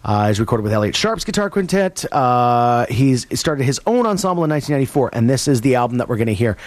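A man's voice: medium pitch, big pitch swings, fast talker, no room sound. A man hosting a late-night college radio show, back-announcing tracks in a laid-back, rambling way with lots of fillers. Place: radio studio.

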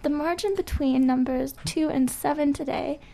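A woman co-hosting a casual radio show with deadpan, mock-serious humor. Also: high voice, moderate pace, vocal fry, noisy background.

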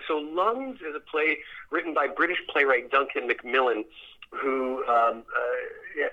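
A man answering interview questions as a theater director, explaining in a conversational, halting way. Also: mid-range voice, narrow, tinny audio. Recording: band-limited phone or radio-like line.

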